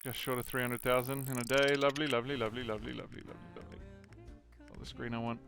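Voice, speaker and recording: A young man giving chatty let's-play commentary, thinking aloud with excitable bursts. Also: mid-range voice, very fast, gravelly, faint music behind. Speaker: gaming channel host. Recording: noisy home recording.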